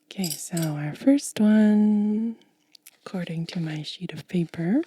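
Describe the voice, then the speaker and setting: A woman doing soft-spoken ASMR, mostly whispering in a gentle, breathy, casual way as she narrates a hands-on demonstration close to the mic. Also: fairly low pitch, dry recording. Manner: gentle, unhurried, casual commentary. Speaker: ASMR video creator and host. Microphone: close mic, dry.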